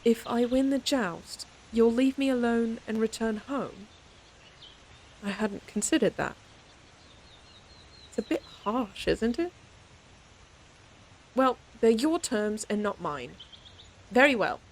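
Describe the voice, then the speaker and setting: A woman's voice: a little high, expressive, slow, long pauses, a little hiss or hum. A woman playing a proud, stern lady knight in an audio roleplay, scolding her companion in an exasperated but secretly fond way. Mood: guarded, resigned. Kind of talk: audio roleplay acting, speaking to the listener.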